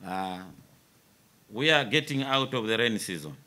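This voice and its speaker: A Zambian man in his fifties, a military force commander, giving a formal press interview in a measured way with many pauses. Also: mid-range voice, animated, bright, forceful tone.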